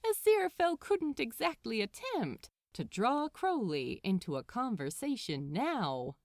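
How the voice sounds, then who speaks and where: A woman narrates fan fiction expressively, with pitch that moves in lively sweeps. She takes her time over the sentences, and the sound is close and dry. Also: a little slow, no echo. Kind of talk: expressive scripted story narration.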